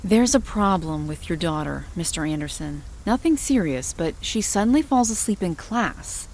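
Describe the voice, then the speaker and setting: Formal, low-voiced female school director. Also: animated, moderate pace, a little mellow, slight background noise, rough recording. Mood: formal, concerned. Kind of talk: audio drama dialogue.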